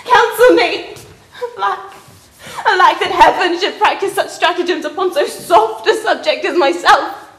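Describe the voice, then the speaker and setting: Young tragic heroine, woman actor: impassioned, pleading, tearful verse; piercingly high, bright voice in a roomy acoustic. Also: measured pace. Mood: anguished despair. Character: distraught, pleading young daughter, defiant yet desperate. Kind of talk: classical stage verse acting. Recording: echoing room.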